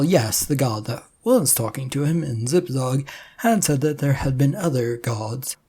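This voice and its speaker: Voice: medium pitch, warm tone, husky. A narrator reading a scripted comic story aloud.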